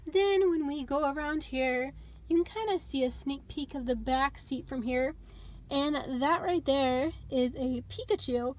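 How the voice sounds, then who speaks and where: High-voiced young woman chatting in her car. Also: unhurried. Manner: chatty and upbeat, with little laughs. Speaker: vlogger. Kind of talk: casual car tour walkthrough. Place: inside a small parked car. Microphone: phone held in hand.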